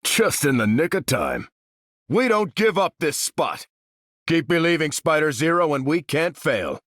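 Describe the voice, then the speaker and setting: An actor playing a bold, determined battlefield hero in a video game, rallying soldiers in a clipped military delivery. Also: male voice, a little high, big pitch swings, measured pace, fairly bright tone, no echo, clean studio sound, full character voice. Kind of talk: video game character voice lines.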